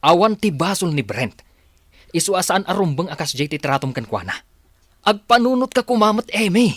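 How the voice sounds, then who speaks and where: Exasperated Filipino father, very animated. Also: sits high, moderate pace, no room sound, clean studio sound, exaggerated voice. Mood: angry, exasperated. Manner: pleading, reproachful. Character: protective, exasperated father and husband. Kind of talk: Filipino radio drama acting.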